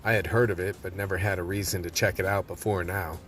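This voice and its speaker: Man acting a low, gritty vice cop narrator. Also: fairly even intonation, fast, over music, close to an everyday voice. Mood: tense, brooding. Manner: gritty, hard-boiled. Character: world-weary, tense male vice cop narrator. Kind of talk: scripted radio play narration.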